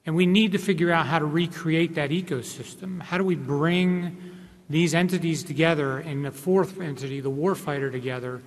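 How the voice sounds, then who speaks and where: A man in his forties speaks at a conference panel table into a table mic, his voice set a little higher than most men's. He explains earnestly, restarting phrases as he goes. A long hall reverb trails behind his words. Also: moderate pace. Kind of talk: panel discussion remarks. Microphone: table microphone.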